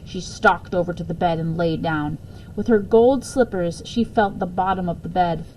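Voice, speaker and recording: A woman reading a children's book aloud as storyteller, expressive in her narration. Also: on the low side, normal speed, low-quality recording. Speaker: story reader. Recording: faint background noise.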